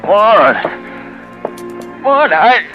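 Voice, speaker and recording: A man's voice: high voice, very animated, very slow, muffled recording, over music, full character voice. A man in 1940s radio drama style, playing a doting husband with an unsettling edge, speaking with affectionate swoops and chuckles. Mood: alarmed.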